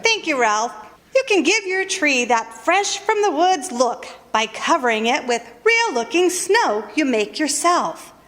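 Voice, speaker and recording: A woman's voice: high-pitched, big pitch swings, measured pace, fairly bright tone. A woman reading a scripted old-time radio commercial as its spokeswoman. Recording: echoey hall, long reverb.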